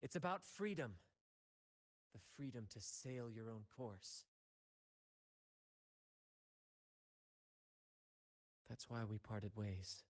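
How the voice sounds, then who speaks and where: A man voicing an idealistic, adventurous ship captain in a video game, speaking in an expressive, theatrical, earnest way. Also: medium pitch, unhurried. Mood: earnest, reflective. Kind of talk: theatrical story-game dialogue.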